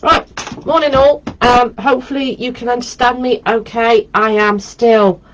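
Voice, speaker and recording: A woman's voice: a little high, fairly even intonation, a little slow. Lady with a head cold, sounds all nasal, rambly chatty live stream from home, kinda muffled audio. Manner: chatty and meandering, with many ums. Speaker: holistic therapist hosting a weekly live video.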